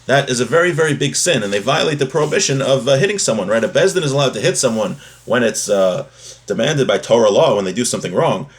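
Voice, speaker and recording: Male religious teacher, mid-pitched voice, reading and explaining a text, restating each point for clarity. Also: expressive, fast talker. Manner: explanatory, repeats and rephrases points. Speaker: religious teacher giving a daily class.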